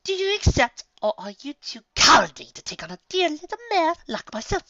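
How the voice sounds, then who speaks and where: A woman's voice: sits high, big pitch swings, a little fast, bright, forceful tone, dry recording, muffled recording, voice pushed up, full character voice. A woman voicing a refined, proud female unicorn in a haughty, theatrical and emphatic manner for a story reading. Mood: defiant.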